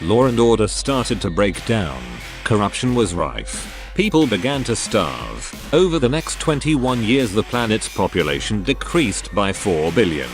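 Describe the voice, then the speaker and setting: A low, expressive male voice of an actor as an AI guide, with music underneath. He is composed and measured, telling his story with wry understatement. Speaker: narrator. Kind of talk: scripted storytelling narration.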